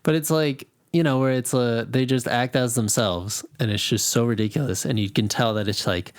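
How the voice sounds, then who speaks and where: A man of middling pitch co-hosts a casual podcast. He talks in a loose, rambling way, full of slang, as in chat between friends. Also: normal speed. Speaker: podcast co-host.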